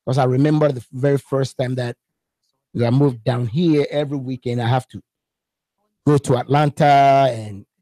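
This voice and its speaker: A man's voice: mid-range voice, fairly even intonation, normal speed, warm tone, dry recording. Ghanaian man, dry studio sound. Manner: repeats words when starting a thought. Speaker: radio guest, musician. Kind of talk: casual radio interview. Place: radio studio.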